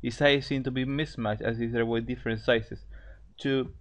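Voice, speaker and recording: A man's voice: medium pitch, fairly even intonation, normal speed. A man narrating fan fiction in an amateur, casual way, often stumbling over phrases and repeating them. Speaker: story narrator, co-host.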